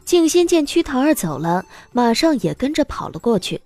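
A woman giving expressive scripted narration of a romance novel as an audiobook narrator. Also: sits high, moderate pace, soft background music.

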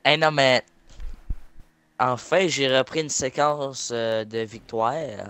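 A man's voice: medium pitch, takes it slowly, a bit bright, clear, resonant tone. A man with a Quebec French accent chatting casually with a friend during an online game. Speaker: gaming companion of the streamer. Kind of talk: casual chat while gaming.

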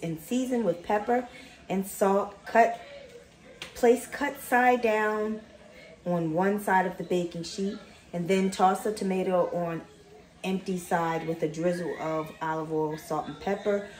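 A woman's voice: mid-range voice, steady tone, unhurried, a little mellow. A middle-aged woman in a home kitchen, chatting casually to the camera and reading recipe steps aloud. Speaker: vlogger. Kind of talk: casual cook-along vlog. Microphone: camera on the counter. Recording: roomy kitchen echo.

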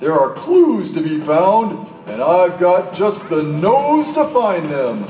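A man voicing an eager, boastful detective dog puppet in a children's show, with exaggerated, clearly enunciated delivery. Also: high voice, sing-song, slow pace, mellow, faint music behind, exaggerated voice. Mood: earnest. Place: large hall, phone recording from the audience. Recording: echoey hall, far from the mic.

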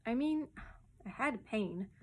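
A woman's voice: a little high, lively intonation, slow pace, a little mellow, slight background noise. A woman voicing a bold, self-satisfied baby boy in a storybook, cheeky and boastful. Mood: defensive.

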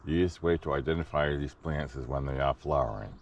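Man, very deep voice, offhand muttered asides, outdoor trail, body-worn camera, faint background noise. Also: fast, creaky. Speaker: hiker filming his walk. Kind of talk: casual spoken commentary while hiking. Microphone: body-worn action camera.

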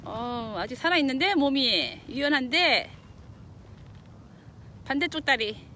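A middle-aged woman with a high voice gives quiet, encouraging instructions on an outdoor hilltop trail. Her voice comes from a little way off the camera, with faint outdoor noise behind it. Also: animated, measured pace. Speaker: hiker coaching her daughter. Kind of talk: casual coaching chat.

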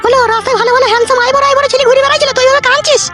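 Shrill, brash cartoon village mother. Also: steady tone, rapid-fire, music in the background. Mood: bossy scolding. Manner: scolding, coarse rural slang. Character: brash, foul-mouthed, scolding village mother. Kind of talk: comic cartoon dialogue.

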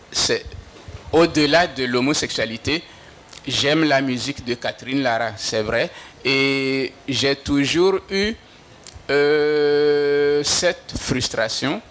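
Middle-aged togolese guy, not a native french speaker, slow thoughtful answers with lots of ums, handheld mic, a bit of hiss. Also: medium pitch, fairly bright tone. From Togo. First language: a Togolese language. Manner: slow and reflective, many hesitations, gestures with free hand. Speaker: author at a book fair talk. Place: indoor book fair stage before an audience.

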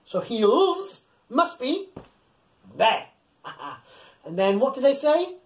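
Playful, very animated man hosting children's storytime. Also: extremely high pitch, slow. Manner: playful, exaggerated, encouraging, talking directly to young listeners; animated, physically acting along. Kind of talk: interactive read-aloud of a children's picture book. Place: small indoor room at home.

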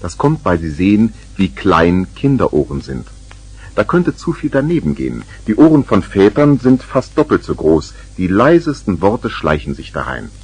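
A man with a husky voice narrating a scripted 1970s children's radio play. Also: fairly low pitch, big pitch swings, normal speed, a little mellow, heavily acted.

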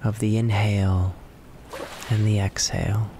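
A man leads a guided relaxation in a low, very even voice. He speaks soothingly in short phrases with long pauses between them. Clear wave noise runs behind his words. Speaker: guided meditation narrator. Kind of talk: slow guided relaxation narration. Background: ocean waves and relaxation music.

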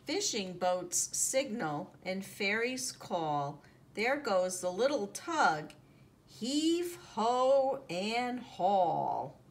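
A woman reading a children's picture book aloud in an expressive, deliberate storytelling manner, drawing out the sound words. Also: medium pitch. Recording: noticeable background noise, home recording.

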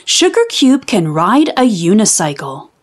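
Female children's storybook reader, lively intonation, unhurried and clearly enunciated, teacherly. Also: mid-range voice. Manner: deliberate, enunciated teaching delivery. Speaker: children's read-aloud host. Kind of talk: expressive storybook reading for young children.

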